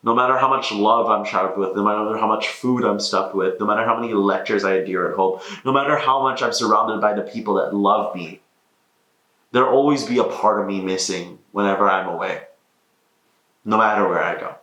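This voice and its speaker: A man's voice: on the low side, steady tone, a little fast, bright, forceful tone, low-quality recording. A young man from Malaysia narrating a documentary in an earnest, introspective voice. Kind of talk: reflective scripted narration.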